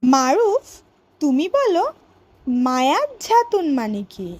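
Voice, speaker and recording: A woman voicing a cartoon schoolteacher who teaches vocabulary to young pupils in a deliberate, word-by-word way. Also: sits high, sing-song, darkish tone, no echo, exaggerated voice. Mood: questioning. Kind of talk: educational cartoon vocabulary lesson.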